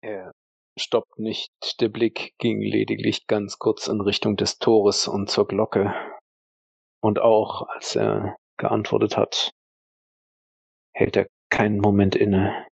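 A man narrating an audio role-play in the third person, halting, deliberate and sombre. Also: fairly low pitch, completely flat delivery, creaky, breathy edge, dry recording, dull audio.

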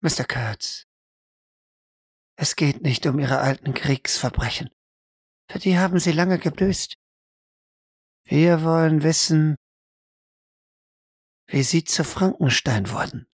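A man acts out a cold young woman questioning a prisoner in a roleplay audio drama. The delivery is slow, quiet and formal, in a dry recording. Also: fairly high pitch, slightly rough, no echo, heavily acted. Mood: cool, firm. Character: cool, businesslike young woman interrogator.

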